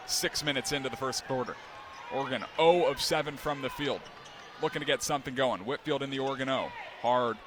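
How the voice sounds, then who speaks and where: A young man doing live play-by-play, with a quick, crisp call that climbs into excited shouts on big plays. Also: mid-range voice. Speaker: play-by-play announcer. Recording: broadcast with loud arena crowd and noise.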